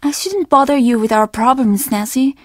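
An actress playing a stern young innkeeper's daughter in a video game, speaking in a measured, formal and easily offended way. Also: female voice, sits high, no echo, clean studio sound. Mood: cold, offended. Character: guarded, stern young Japanese innkeeper's daughter, polite but curt. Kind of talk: video game character dialogue.

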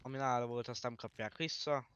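A man's voice: medium pitch, fairly even intonation, a crowd in the background. A man in online game roleplay playing a police officer, speaking matter-of-factly over muffled, distant voice chat. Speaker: fellow online roleplay player, acting as police.

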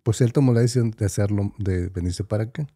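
A man's voice: on the low side, fast talker, mellow, husky, no room sound, clean studio sound. A man in his thirties with a Mexican Spanish accent, a podcast co-host who argues skeptically and reasons through examples. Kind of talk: heated casual debate. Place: small studio with foam panels. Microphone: studio mic, headphones.

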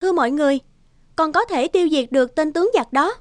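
A woman voicing a brave, composed young village daughter, calm and resolute, in a cartoon. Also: high-pitched, fast talker, no room sound, close to an everyday voice. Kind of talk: Vietnamese cartoon dubbing.

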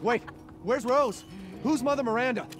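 A man's voice: very high-pitched, normal speed, over music. An actor playing an anxious, bewildered father searching for his child in a video game, with an urgent, questioning delivery. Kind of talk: dramatic video game acting.

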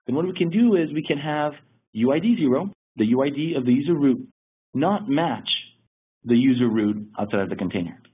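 A man presenting a technical webinar, explaining things steadily with occasional restarts. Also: mid-range voice. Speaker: security lead presenting a webinar. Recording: husky voice over a tinny phone-like line.

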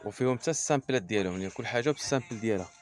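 A man's voice: on the low side, rapid-fire, soft background music. A man with a Moroccan accent casually showing goods as a seller, listing items with French trade words. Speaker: fabric and salon seller.

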